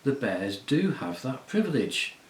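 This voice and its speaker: A man doing a calm young king. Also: medium pitch, measured pace, slight background noise. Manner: calm, courteous, commanding. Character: young, kingly, courteous High King. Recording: roomy echo.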